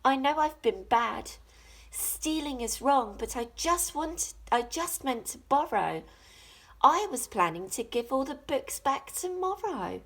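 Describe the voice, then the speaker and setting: A sheepish storybook thief, sad and apologetic, played by a woman reader. The voice is pleading and sniffly, pitched on the high side, with a husky grain and lively swings. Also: a little slow, full character voice.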